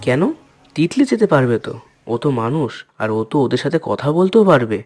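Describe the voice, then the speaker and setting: A man's voice: mid-range voice, sing-song, fairly quick, darkish tone. Plucky cartoon animal sidekick, played by a man: touchy, eager, middle register, light music bed. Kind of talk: children's fairy-tale cartoon acting.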